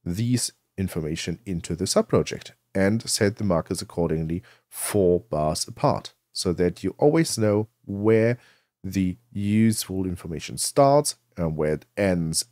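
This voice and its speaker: A man narrating a software tutorial in a conversational, explanatory way, asking and answering his own questions. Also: low voice, expressive, moderate pace, no room sound, clean studio sound. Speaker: tutorial presenter.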